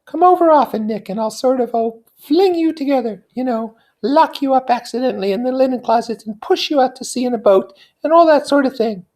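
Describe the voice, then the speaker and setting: A man's voice: very high-pitched, fairly quick, hardly any pauses, darkish tone, pitched above the performer's own voice. A man voicing a dramatic, cynical and flighty young society woman in a theatrical, gushing way from a classic novel. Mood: breezy, teasing.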